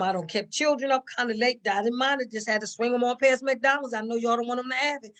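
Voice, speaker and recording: Older woman with a higher voice, folksy. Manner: folksy, rambling, emphatic repetition. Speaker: interview guest, stage actor. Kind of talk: casual storytelling chat.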